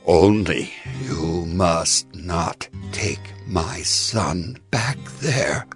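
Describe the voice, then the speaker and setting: Creaky, very slow old patriarch. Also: male voice, low voice, expressive, soft background music, heavily acted. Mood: grave, insistent. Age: older. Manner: slow, solemn, deliberate delivery in short phrases. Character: aged patriarch, solemn, commanding his servant. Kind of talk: dramatized scripture acting.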